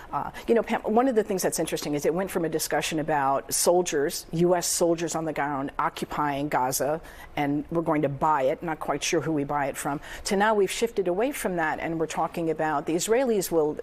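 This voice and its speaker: A woman, an advocacy group director, answering questions in a live TV news interview in a measured, earnest way with some hesitations. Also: deep voice, darkish tone.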